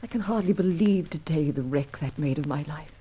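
An actress playing a proud, guarded young war widow in an old radio melodrama, with an emotional, halting, defensive delivery. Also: female voice, deep voice, mellow, breathy edge. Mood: sorrowful. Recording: muffled, lo-fi old radio recording.

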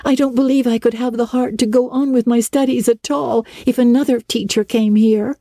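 A woman's voice: on the high side, fairly even intonation, moderate pace, mellow, no room sound, clean studio sound, voice pushed up, close to an everyday voice. A woman voicing an eager, talkative, dreamy young girl in a dramatic storybook reading, gushing with breathless earnestness. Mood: breathless relief.

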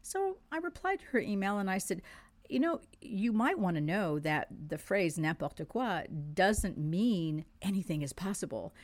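A woman from France, French her first language, giving a monthly spiritual forecast in an earnest, measured way and stressing key words. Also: mid-range voice, sing-song. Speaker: spiritual teacher.

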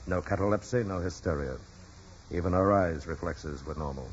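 Low-voiced, measured physician male actor, formal old radio acting, muffled and noisy broadcast. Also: natural voice. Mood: earnest, clinical. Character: dignified, precise, indignant male physician. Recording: dull, noisy vintage radio.

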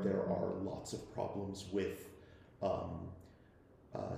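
This is an American man from the Midwest with a lowish voice. He talks very slowly and haltingly in a panel discussion, often repeating words while he works out a thought. The room is very echoey, with faint noise behind him. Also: vocal fry, mellow. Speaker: panelist, member of an audio drama team.

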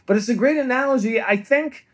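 A man's voice: very high voice, fairly quick. A man in his fifties, a guest comedian in a casual chat, talking in an eager, thoughtful way with many restarts. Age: 50s.

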